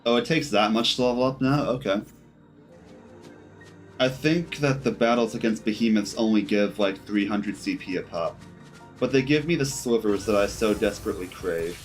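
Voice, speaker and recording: A man's voice: lowish voice, normal speed. A man giving casual live gameplay commentary as a streamer, rambling and thinking aloud as he goes. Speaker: video game streamer. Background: game music.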